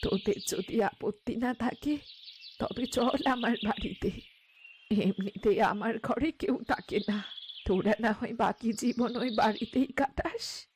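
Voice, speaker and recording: A woman voicing a kindly old village beggar woman in a cartoon, speaking slowly in a motherly, coaxing way. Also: a little high, slight background noise. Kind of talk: cartoon moral story dialogue.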